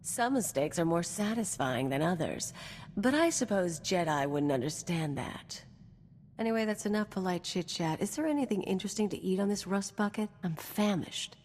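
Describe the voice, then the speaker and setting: A woman plays an aloof alien femme fatale for a game cutscene. Her voice is on the low side, haughty and sardonic, and rings in a long hall reverb. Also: animated, moderate pace, darkish tone, a little hiss or hum, natural voice. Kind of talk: video game cutscene acting.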